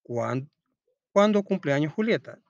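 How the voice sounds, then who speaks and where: Male primary-school teacher, very lively intonation, didactic question-and-answer delivery, recorded dry and slightly dull. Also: fairly high pitch, measured pace, gravelly, dry recording. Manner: didactic, asks questions and answers them himself. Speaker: teacher presenting a school textbook lesson. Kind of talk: read-aloud storytelling and step-by-step lesson narration.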